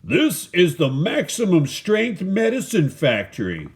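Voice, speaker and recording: A man's voice: sits high, huge pitch swings, measured pace, voice pushed up, exaggerated voice. A man voicing cheerful, self-important working men in a storybook, declaring things heartily and proudly.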